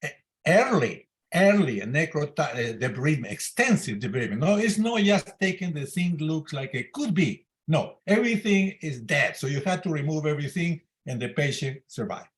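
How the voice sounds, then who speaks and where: An older man around eighty with a slightly high voice speaks in non-native English with loose grammar. He comments as a senior surgeon in an informal clinical discussion. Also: very animated, moderate pace. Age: 70s to 80. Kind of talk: informal medical conference discussion.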